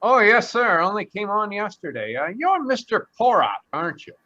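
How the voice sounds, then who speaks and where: A man's voice: high-pitched, very animated, normal speed, bright, forceful tone, full character voice. A man doing an eager young elevator boy. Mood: casual. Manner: offhand, casual. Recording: dull lo-fi video call audio.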